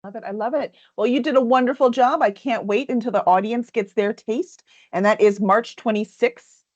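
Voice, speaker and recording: A woman's voice: fairly high pitch, moderate pace, no room sound. Chatty woman on a dull line. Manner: enthusiastic, chatty, many fillers. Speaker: publisher's show host. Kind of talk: casual interview chat. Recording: dull, dry lo-fi sound.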